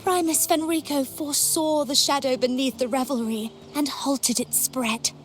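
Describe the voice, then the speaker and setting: An actress playing a devout, fearful worshipper preaching repentance in a video game, with reverent, solemn, theatrical delivery. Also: female voice, high voice, fairly even intonation, moderate pace, slight background noise, close to an everyday voice. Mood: solemn, pious. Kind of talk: video game story dialogue acting.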